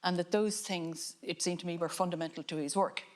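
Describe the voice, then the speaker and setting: An Irish woman, a filmmaker on a panel, giving a reflective talk in a musing, halting way with frequent restarts. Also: lowish voice, fairly even intonation, a little mellow. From Ireland.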